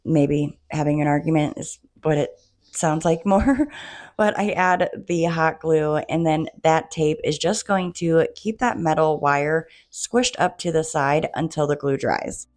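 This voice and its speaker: A woman's voice: low-pitched, unhurried, a little mellow, dry recording, clean studio sound. A woman narrating a DIY home decor tutorial in a chatty, casual way, talking to viewers as "you guys", while coming down with a cold and losing her voice.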